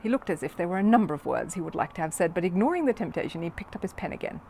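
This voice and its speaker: Animated, lower-pitched woman reading a children's story. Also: rapid-fire, vocal fry, slight background noise. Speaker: storybook narrator.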